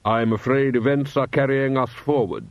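A man voicing a suave foreign prince and diplomat with formal, measured politeness. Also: mid-range voice, fairly even intonation, no echo, lo-fi sound, pitched above the performer's own voice, lightly acted. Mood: grave, courteous.

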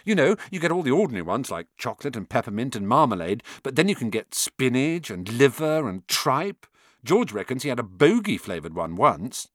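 A man voicing a blunt, chatty eleven-year-old schoolboy with a quick offhand manner. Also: medium pitch, sing-song, fairly bright tone, no echo, studio quality.

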